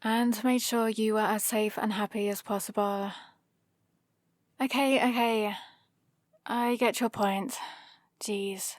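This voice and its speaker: A British woman playing a guarded female supervillain who is awkwardly soft on her hero, in a hesitant, defensive ASMR roleplay style. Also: mid-range voice, steady tone, slow, long pauses, no room sound, clean studio sound, natural voice. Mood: grudging, exasperated. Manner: hesitant, defensive, softly exasperated.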